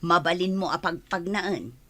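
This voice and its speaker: A woman's voice: lowish voice, measured pace, fairly bright tone. An actress playing a stern mother who is leaving her child with a relative, in a Filipino radio drama. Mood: firm, evasive.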